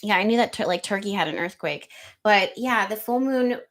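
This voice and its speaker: A woman hosting an astrology livestream, reading viewer comments aloud in a loose, rambling chat with a soft, slightly nasal voice, close to the microphone. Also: medium pitch, animated, normal speed. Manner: chatty, rambling, lots of ums and likes. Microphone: close studio microphone.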